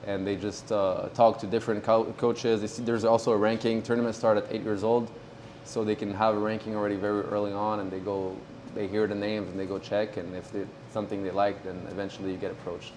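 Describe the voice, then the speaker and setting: A man in his twenties from Morocco, a pro tennis player talking casually in an interview, relaxed and halting with many fillers. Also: fairly low pitch, slight background noise, low-quality recording. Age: 20s.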